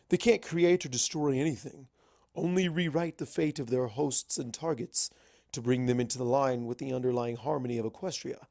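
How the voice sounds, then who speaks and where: A blunt old wizard pony in a storybook reading, acted out by the narrator. He lectures and scoffs emphatically, with gravelly creak in a middling pitch. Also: darkish tone, dry recording, studio quality, lightly acted. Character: Starswirl, old male wizard pony, gruff, cynical, blunt.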